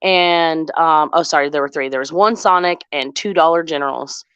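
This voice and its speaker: A woman's voice: sits low, normal speed, a bit bright, smooth, no room sound, lo-fi sound. A woman in her thirties from the Boston area, raised in the South, with a mild Southern accent, chatting casually and laughing often as a podcast host. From Boston area, raised in Tennessee. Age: 30s. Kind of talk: casual group chat over the internet.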